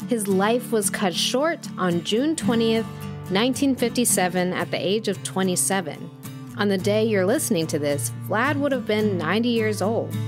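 A woman playing an earnest music show host, narrating a scripted audio documentary. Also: medium pitch. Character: female music podcast host, earnest storyteller.

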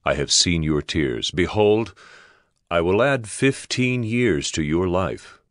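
Casting: grave biblical prophet for scripture audio drama; deep, declamatory, commanding, closely recorded dry sound. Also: male voice, animated, slow pace, dry recording. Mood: solemn proclaiming. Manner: authoritative, declamatory. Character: grave male prophet delivering God's word.

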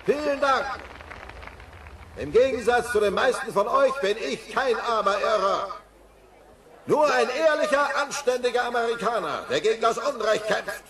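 An actor playing a bumbling, earnest, excitable middle-aged cartoon father in a German-dubbed audio play, speaking in a declamatory way. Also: extremely high pitch, normal speed. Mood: rousing, indignant. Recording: noisy old cassette audio play.

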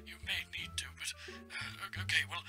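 A man playing a flustered, apologetic helper who speaks haltingly and gravely in an improvised game roleplay. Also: a little high, fairly even intonation, pushed and bright, soft background music. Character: Alfred, apologetic, flustered male helper giving grave advice.

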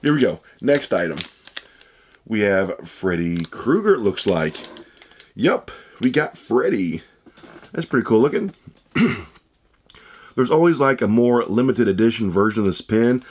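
A man in his thirties with a hoarse voice he says he is losing, chatting casually as he unboxes items at a desk indoors. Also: on the low side, sing-song, unhurried, pauses often, a little mellow, a little hiss or hum. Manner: chatty, rambling, upbeat. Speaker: unboxing channel host. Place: room with shelves of shoe boxes, indoors.

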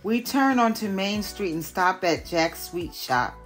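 An older woman, a retired teacher, reading a picture book aloud in careful, deliberate narration. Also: mid-range voice, lively intonation, faint music behind.

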